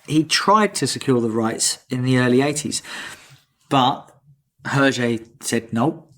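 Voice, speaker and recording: A man's voice: medium pitch, slow pace, a bit bright. A man hosting a casual podcast, reading out trivia with a teasing, jokey manner. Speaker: podcast host.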